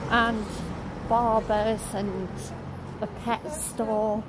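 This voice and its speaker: Slow, high-voiced woman on a noisy street. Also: level tone. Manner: halting, listing shop names as seen. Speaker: travel vlogger. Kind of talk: walk-along street narration. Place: outdoors on a town shopping street. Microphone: handheld camera while walking. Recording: loud street and traffic noise.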